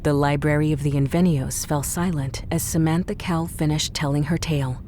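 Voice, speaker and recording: A woman's voice: deep voice, steady tone, normal speed, slight background noise, lightly acted. A woman delivering scripted dramatic narration for an adventure audio drama. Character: female storyteller narrating a sea adventure. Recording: loud music under the voice.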